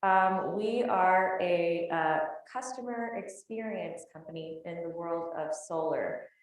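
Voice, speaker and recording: Low-pitched woman, very echoey room. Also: takes it slowly. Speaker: startup representative on a panel. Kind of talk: informal company introduction to audience. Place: large room at live event. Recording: distant mic in very echoey room.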